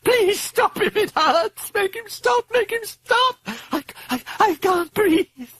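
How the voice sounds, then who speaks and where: A man voicing a terrified, tormented young girl in a horror story, shrill and wailing. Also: very animated, takes it slowly, a bit bright, no echo, heavily acted. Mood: weeping plea. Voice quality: shrill, screeching.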